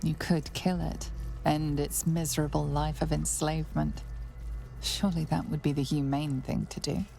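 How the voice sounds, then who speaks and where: A woman playing the solemn narrator of a dark fantasy game, reading scripted lines in a hushed, gravelly voice. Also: deep voice, level tone, moderate pace, faint music behind. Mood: grave, ominous.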